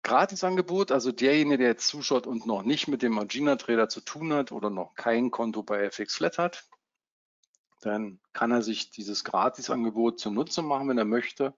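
A man in his fifties from Berlin, giving a casual live webinar as a trading software coach, with many fillers. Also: medium pitch, normal speed, dull audio. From Germany (Berlin). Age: 50s. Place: home office.

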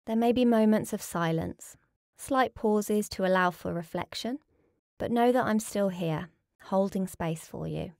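A woman leading a guided relaxation as a yoga nidra teacher, speaking in a calm, soothing, measured way with pauses. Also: medium pitch, vocal fry, no room sound, studio quality.

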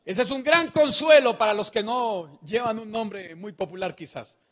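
Man preaching, very high voice, emphatic, rhetorical questions, handheld mic, long church reverb. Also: normal speed. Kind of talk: sermon. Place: large church stage with a band set-up.

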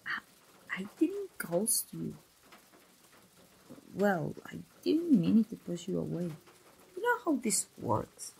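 A young woman voicing an engaged woman confiding in an old friend, in a soft, breathy, close ASMR roleplay style. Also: mid-range voice, huge pitch swings, slow pace, pauses often, exaggerated voice, rough recording. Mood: defensive, coaxing. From Mexico.

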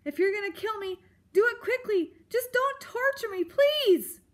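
A woman's voice: very high-pitched, sing-song, slow, voice pushed up, heavily acted. A woman voicing a timid young female storybook mouse, stammering and earnestly pleading. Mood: terrified pleading.

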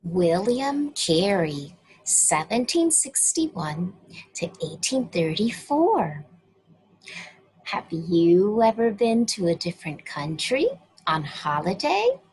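A woman from the United States reading a picture book aloud to children, slow and expressive, asking them questions. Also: fairly low pitch, slight background noise, low-quality recording. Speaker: children's story reader.

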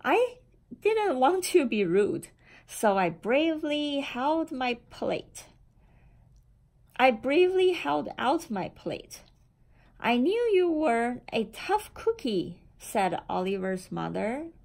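A woman reading a children's picture book aloud in a deliberate, expressive storytelling manner. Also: high-pitched, gravelly. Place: indoor room with framed certificates on the wall.

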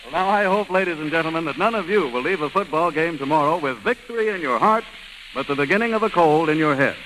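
A male 1930s radio announcer delivering polished, emphatic ad copy. His voice is high and animated, on an old recording with faint noise. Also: fast talker, rough recording. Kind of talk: scripted 1930s radio advertising.

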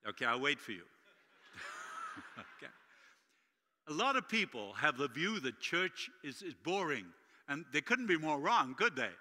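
A middle-aged man from Uruguay with a Spanish accent, preaching an animated sermon with jokes as guest speaker in a large church. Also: on the high side, unhurried, pauses often, slight background noise. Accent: Latin American Spanish. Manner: animated, gesturing widely while walking the stage. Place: large church sanctuary stage. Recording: long hall reverb.

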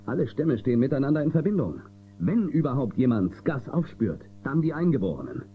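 An actor playing a confident, commanding space-western marshal with a firm, authoritative manner in a children's radio play. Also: male voice, mid-range voice, very animated, fairly quick, slight background noise, lightly acted. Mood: serious. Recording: muffled phone-like old cassette sound.

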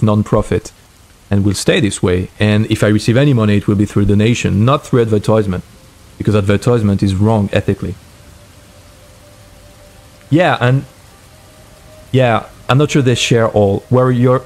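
A man with a French accent chats off the cuff during a coding stream. His voice sits fairly low, and he feels his way through sentences, often repeating words. Faint music plays behind him. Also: darkish tone. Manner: thinking aloud, hesitant, repeats words. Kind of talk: casual unscripted stream commentary. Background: music playing.

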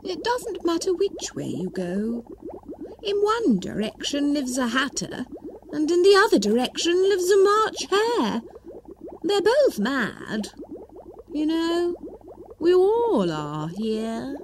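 Woman as a high, lilting Cheshire Cat. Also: very animated, noisy background, exaggerated voice. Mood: sly, playful. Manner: lilting, drawn-out. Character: sly, mad, grinning storybook Cheshire Cat.

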